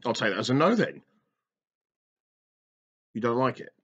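A man's voice: medium pitch, sing-song, moderate pace, breathy edge, lo-fi sound. A man in his thirties hosting a kids' activity video from a small brick-walled room, talking back to a silent toy and joking as he explains. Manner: jokey, teacherly, talks back to a silent toy. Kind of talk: playful talk to a toy puppet. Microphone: webcam in front of him.

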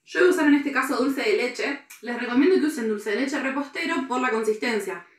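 A woman's voice: a little high, animated, fairly quick. A woman in her twenties with an Argentine accent giving step-by-step cooking instructions in an echoey kitchen. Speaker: cooking presenter. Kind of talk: step-by-step recipe demonstration. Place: kitchen, indoors. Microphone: camera a few steps away. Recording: echoey room.

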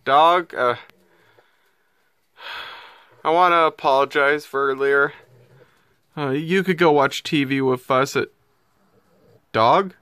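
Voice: mid-range voice, slow, long pauses, fairly bright tone, smooth, no echo, clean studio sound. A performer voicing a skeptical but kind cartoon friend who stammers and gets flustered. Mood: reluctant.

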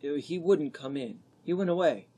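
An actor playing a friendly, casual young man with a husky voice in an old-time radio drama. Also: a little high, moderate pace, warm tone. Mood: casual, puzzled.

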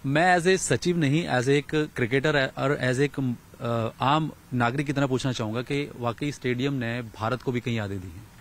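A man's voice: medium pitch, moderate pace, a little hiss or hum. A man, reporting and interviewing as a sports journalist outdoors into a handheld microphone. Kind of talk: on-location news reporting and interviewing. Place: outdoors in a large empty cricket stadium.

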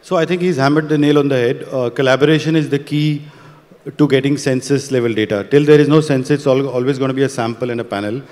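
A man on a conference panel walking through audience figures quickly, with many fillers, on a handheld mic on stage. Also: medium pitch, a little mellow. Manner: rapid, data-heavy delivery with fillers. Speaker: panelist presenting audience data. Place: large conference stage with a long reverb. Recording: hall reverb, stage PA.

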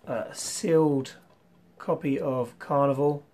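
A young man hosting a casual retro collection vlog, rambling with ums and clearing his throat, his voice slightly worn from losing it. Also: mid-range voice, steady tone, takes it slowly, a little mellow. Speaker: retro game collector vlogger. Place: small room full of toy shelves, indoors.

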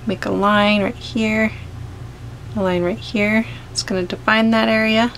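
A woman art teacher calmly talking through a step-by-step painting tutorial as she paints at a table. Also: medium pitch, a little hiss or hum. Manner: gentle, unhurried explaining while painting. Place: home studio, overhead camera on painting table.

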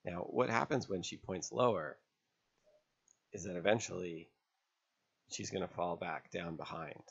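Man, very low voice, crisp delivery, instructor narration, measured with pauses, slightly dull recording. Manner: measured, step-by-step explaining with pauses. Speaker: sailing instructor. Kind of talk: instructional narration over drawn diagrams.

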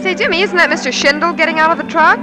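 High-voiced excited young sweetheart. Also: female voice, racing, loud music behind the voice. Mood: moved, affectionate. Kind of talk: 1940s radio drama acting. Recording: old radio broadcast, street crowd behind.

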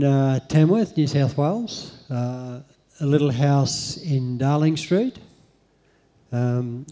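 A middle-aged Australian man speaking on stage into a handheld mic, halting with many ums as he answers interview questions. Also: mid-range voice, mellow. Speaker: guest speaker. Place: convention hall stage.

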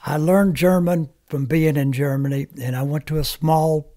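Brief: older man, native Comanche speaker, halting plain-spoken storyteller, studio mic. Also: fairly high pitch, fairly even intonation, mellow. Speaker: guest, veteran. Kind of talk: reminiscing interview talk. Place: small podcast studio. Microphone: studio mic on stand, headphones.

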